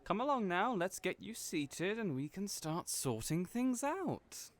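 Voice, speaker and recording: A man's voice: high voice, very animated, a little slow, voice pushed up. A man voicing a flamboyant, fussy male hairstylist who teases and mothers his clients in an audio roleplay. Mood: teasing.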